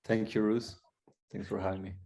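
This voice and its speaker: A man from Colombia, a guest coach, telling his story candidly and reflectively, halting and restarting as he goes. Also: lowish voice, flat delivery, vocal fry, dark tone.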